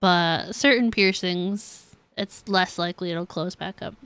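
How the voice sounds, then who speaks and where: A young woman livestreamer chatting casually with viewers in a halting, conversational, giggly way while playing a game. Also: on the low side, fairly bright tone, smooth, no room sound. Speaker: game streamer.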